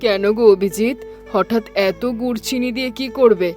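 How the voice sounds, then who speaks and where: A woman playing an inquisitive, friendly village shopkeeper in an animated story. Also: a little high, normal speed, soft background music, lightly acted. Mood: curious.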